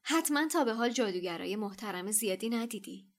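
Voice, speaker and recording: A woman's voice: a little high, lively intonation. A woman voicing a polite, slightly hesitant teenage boy wizard in an audiobook. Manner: hesitant, polite, whispering urgently. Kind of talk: audiobook character voice.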